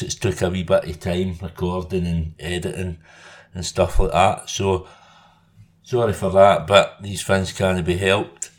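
A man hosting a podcast, talking in a relaxed, informal way with local words. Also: sits low. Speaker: podcast host. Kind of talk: podcast intro and interview.

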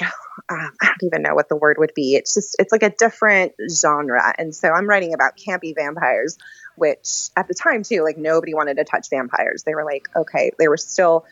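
A woman's voice: sits low, moderate pace, fairly bright tone, no echo, lo-fi sound. A woman speaking as a guest author in a casual, chatty and informal interview conversation.

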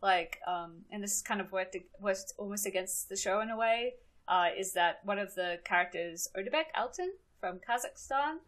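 A woman's voice: medium pitch, moderate pace, a bit bright. A woman in her thirties with an Australian accent, a guest chatting on a podcast and often laughing. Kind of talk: casual podcast chat.

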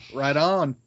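A man's voice: on the high side, a bit bright, dull audio. A man speaking casually to listeners as a podcast co-host. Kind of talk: casual chat and announcements.

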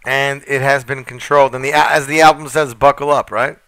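Brief: male radio host, mid pitch, smooth clear voice, eager chatty questioner. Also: steady tone, fairly quick, fairly bright tone, dry recording, rough recording. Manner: chatty, enthusiastic, stacks up questions. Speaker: radio interviewer. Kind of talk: radio interview.

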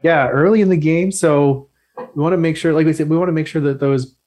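A man's voice: fairly high pitch, steady tone, fairly quick, darkish tone. A man talking as a podcast guest, explaining things in a chatty, lightly joking way. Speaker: guest expert on loans. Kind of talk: casual podcast chat.

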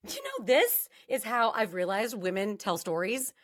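A woman's voice: mid-range voice, huge pitch swings, moderate pace, a bit bright, no room sound, studio quality. An American woman co-hosting a podcast, chatting casually and throwing in wry, sarcastic interjections. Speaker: podcast co-host. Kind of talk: casual banter and reactions.

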